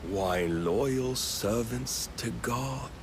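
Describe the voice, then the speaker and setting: An actor playing a solemn, grandiose divine zealot in a video game cutscene, preaching in measured, archaic, formal phrases. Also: male voice, medium pitch, background noise. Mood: exalted, condescending.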